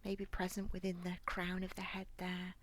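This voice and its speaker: A woman leading a guided body scan meditation in a soft, near-whispered, breathy voice with a calm, gentle, soothing manner. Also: on the low side, moderate pace. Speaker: guided relaxation instructor.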